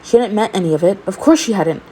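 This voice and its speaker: A woman reading fan fiction aloud in an expressive amateur storytelling style. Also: mid-range voice, fast, a little hiss or hum, low-quality recording.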